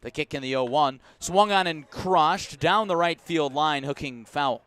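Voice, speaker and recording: An American man doing live radio baseball play-by-play, measured in description and lifting his voice for the action. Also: a little high. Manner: measured description rising to excited calls. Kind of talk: live radio sports commentary.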